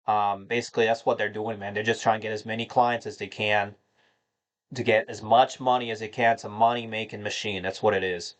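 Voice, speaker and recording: A man's voice: fairly low pitch, level tone, brisk, a bit bright. A man in his thirties from North Carolina, casually chatting and answering viewer questions on a livestream, rambling with many fillers. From United States (North Carolina). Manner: rambling, filler-heavy, addresses chat as "man". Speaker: livestream host answering chat.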